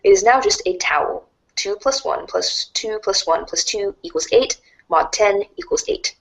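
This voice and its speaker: A woman reading narration aloud in an animated storybook style for a reader's theater. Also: mid-range voice, moderate pace, gravelly, poor audio.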